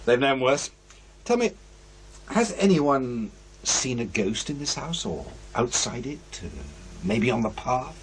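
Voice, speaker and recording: Casting: a calm, courteous lead ghost hunter in audio horror, medium pitch, lively inflection. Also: male voice, takes it slowly, slight background noise. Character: calm, courteous male paranormal investigator leading a team. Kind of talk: audio horror drama acting.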